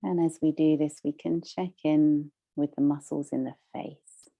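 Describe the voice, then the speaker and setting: A woman leading a guided meditation as a teacher, soothing and measured, with long pauses between phrases. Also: low voice, steady tone, vocal fry, warm tone.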